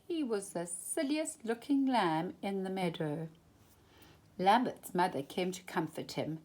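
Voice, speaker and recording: A woman storyteller reads a children's picture book aloud. Her pitch is medium but swings widely, and she goes slowly, leaning on and stretching key words for expression. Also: animated, a little mellow. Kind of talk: animated children's storybook reading.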